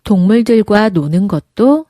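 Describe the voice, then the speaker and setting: A woman's voice: mid-range voice, animated, a little slow, mellow, no room sound, studio quality. A woman narrating a children's fairy tale, reading aloud expressively as a storybook narrator.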